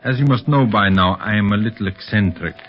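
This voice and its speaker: An actor playing an aging, eccentric villain inventor, formal and evasive, in 1930s radio serial style. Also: male voice, on the low side, soft background music, close to an everyday voice. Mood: courteous, guarded. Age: older. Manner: formal, courtly, hesitant evasive pauses. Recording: muffled old 1930s radio recording.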